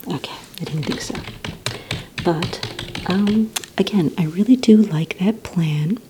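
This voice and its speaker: A woman whispering breathily in a soft-spoken ASMR roleplay as a polite, encouraging receptionist, asking questions in a gentle, hesitant, unhurried way. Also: on the low side, background noise. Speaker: ASMR roleplay performer as a villain recruitment receptionist.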